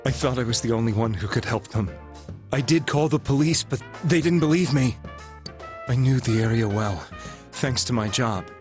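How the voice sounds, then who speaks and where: Man acting video game character, guilt-ridden working man, earnest, halting confession, solemn, clear music underneath. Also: medium pitch, lively intonation, darkish tone, natural voice. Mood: remorseful. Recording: music behind the voice.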